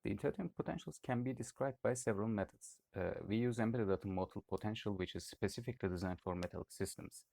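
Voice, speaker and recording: A man's voice: on the low side, fast, gravelly, hardly any pauses, a little mellow, no echo, clean studio sound. A young man from Turkey reading out a student project presentation, with occasional hesitations. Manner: read-aloud explanation with occasional "uh" hesitations.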